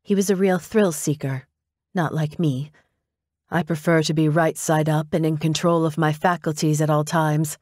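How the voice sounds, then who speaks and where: Audiobook role: woman plays wealthy widow, prim and clipped, disdainful, lowish pitch, dry sound. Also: fairly even intonation, normal speed, darkish tone, dry recording, natural voice. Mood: prim, dismissive.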